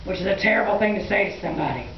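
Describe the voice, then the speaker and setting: A woman's voice: medium pitch, lively intonation, racing. A woman telling a story to children at a live puppet show, theatrical in how she draws out and repeats words. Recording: echoey room, distant dull mic, crowd. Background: audience of children.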